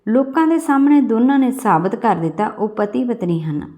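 A woman narrator reads a scripted audio story. Her voice sits fairly high, and she delivers the tale as prepared narration for listeners. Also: normal speed, warm tone.

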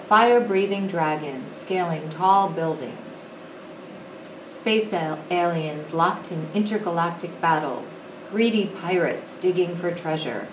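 A woman reading a children's picture book aloud with expressive, deliberate phrasing, as an educator would to pupils. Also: on the low side. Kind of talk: storybook read-aloud. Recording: roomy echo, clearly noisy background.

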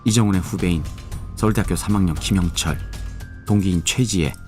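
Brief: male narrator, very deep voice, measured and steady, soft music under. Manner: measured, even storytelling. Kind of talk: scripted audio drama narration.

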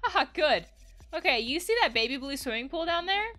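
Woman reading as swaggering, high-pitched reckless party friend. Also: expressive, a little fast, sharp tone, faint music behind. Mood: cocky. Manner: goading, emphatic, theatrical reading. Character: reckless, swaggering, foul-mouthed young party-going friend.

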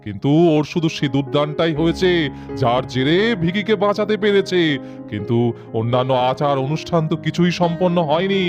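A man voicing a calm, wise holy man in a puppet cartoon, making measured, reassuring pronouncements. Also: on the high side, close to an everyday voice. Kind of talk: cartoon voice acting. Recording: background music under the voice.

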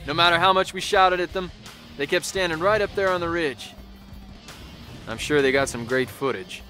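A cynical, battle-weary game soldier recounting the war. His voice is forceful and mid-pitched, wry and colloquial, with music underneath. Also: moderate pace. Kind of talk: narrated war journal.

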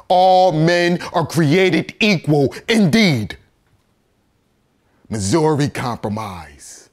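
A male actor performing a theatrical monologue as a bitter, righteous condemned rebel, in an accusatory, oratorical manner that swings between hushed and thundering. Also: lowish voice, vocal fry, slightly rough, heavily acted. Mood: bitter scorn.

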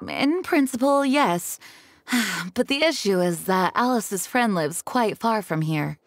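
A woman's voice: medium pitch, expressive, slow pace, no room sound. Composed, formal young female knight. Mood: apologetic. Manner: polite, formal. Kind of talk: anime-style game voice acting.

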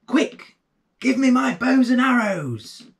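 Man acting out an excitable, high-pitched folk tale husband. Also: takes it slowly, creaky, full character voice. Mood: urgent alarm. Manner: exclaiming.

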